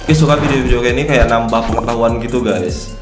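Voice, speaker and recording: A man's voice: medium pitch, quick pace, echoey room, over music. Chatty young man with a stuffy nose. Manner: chatty, upbeat, says "guys" often. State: nasal from a cold. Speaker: reaction video host. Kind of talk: casual reaction commentary.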